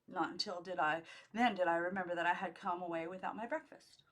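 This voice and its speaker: A woman's voice: lowish voice, fairly quick, fairly bright tone. Woman reading a memoir aloud expressively. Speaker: amateur audiobook reader. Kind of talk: expressive scripted narration of a memoir.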